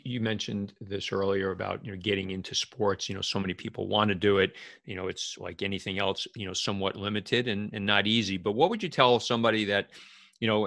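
A man's voice: fairly low pitch, vocal fry, bright, forceful tone, no echo, clean studio sound. A man hosting an interview podcast in a conversational style.

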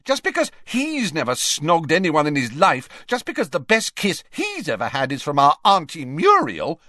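A man voicing a furious, mocking teenage girl with a shrill, combative way of talking, reading from a storybook. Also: expressive, slow, a bit bright, no echo. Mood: angry.

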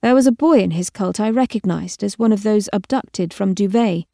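A woman's voice: medium pitch, moderate pace, vocal fry, mellow, no room sound, studio quality, close to an everyday voice. A woman voicing an earnest, anxious young female trainee in an audiobook story, careful and insistent. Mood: earnest, steady.

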